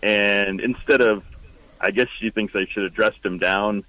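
A young man calling a radio show from a car by the roadside, speaking haltingly with many fillers. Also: lowish voice, steady tone, bright, forceful tone, no room sound, rough recording. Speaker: caller. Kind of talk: phone-in call.

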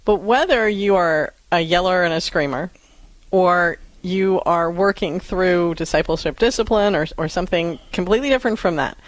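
A woman's voice: on the low side, moderate pace, dry recording, low-quality recording. A woman co-hosting a call-in radio show, speaking in an enthusiastic, encouraging way. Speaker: radio talk show co-host.

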